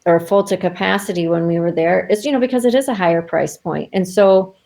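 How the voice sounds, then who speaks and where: A chatty woman guest talks in a relaxed, loose way, dotting her answers with "um" and short laughs. Her voice sits a bit low and has a gravelly edge. She comes through a video call mic. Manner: chatty, filler-heavy, quick laughs. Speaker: travel advisor guest. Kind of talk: casual interview chat.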